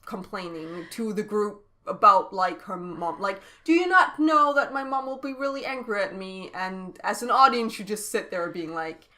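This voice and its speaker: A woman's voice: mid-range voice, moderate pace, few pauses, bright, forceful tone. A Danish woman speaking English in a casual chat, explaining at length and laughing often. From Denmark. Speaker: podcast co-host.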